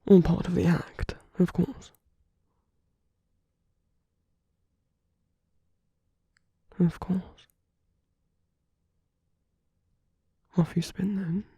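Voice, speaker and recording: A woman playing a flirtatious villain in an intimate ASMR roleplay, teasing and tender, speaking softly in a coaxing half-whisper. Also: on the low side, steady tone, moderate pace, breathy edge, no room sound, studio quality. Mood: playful, seductive.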